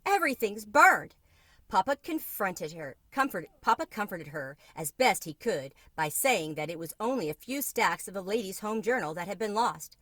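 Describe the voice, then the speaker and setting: A woman narrates a children's story with expressive, read-aloud delivery. Her voice sits at a middle pitch, and the recording is dry and close, with little room sound. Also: moderate pace, hardly any pauses, no echo. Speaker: storybook reader.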